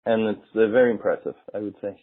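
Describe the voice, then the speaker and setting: A man's voice: on the low side, level tone, quick pace. A man, a rabbi explaining things thoughtfully in a friendly chat. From United States. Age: 50s. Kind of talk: casual conversation. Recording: phone-like tinny line.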